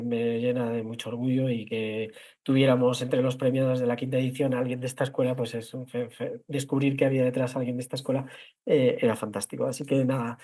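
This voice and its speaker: A man's voice: medium pitch, darkish tone. A man with a Castilian Spanish accent hosting a talk, speaking discursively and spontaneously, often repeating and rephrasing as he goes. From La Mancha, Spain. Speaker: host introducing architecture award winners. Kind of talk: spontaneous moderated online talk.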